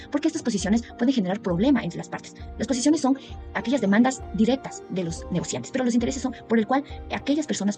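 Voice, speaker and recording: Faint music sits behind a woman delivering an academic presentation. She talks very quickly in a dark, relaxed timbre. Her manner is didactic, listing and explaining each point in turn. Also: fairly high pitch. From Ecuador. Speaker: university student presenter.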